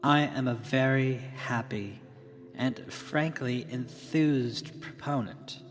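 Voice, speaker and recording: An earnest tech leader played by a man delivers a solemn keynote in a radio drama. The delivery is slow and deliberate, with pauses between phrases. Long hall reverb surrounds the voice, and music plays behind it. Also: mid-range voice, lightly acted. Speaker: keynote speaker.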